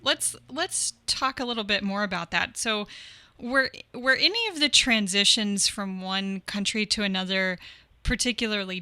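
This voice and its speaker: A woman's voice: mid-range voice, bright, forceful tone, no echo. A woman hosting a podcast, asking questions in a conversational interview style.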